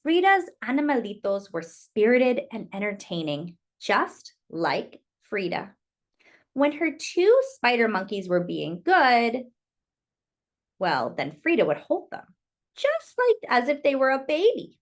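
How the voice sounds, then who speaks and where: A woman's voice: fairly high pitch, huge pitch swings, a little slow. A woman reading a picture book aloud to young children, expressively, with chatty asides to her listeners about the pictures.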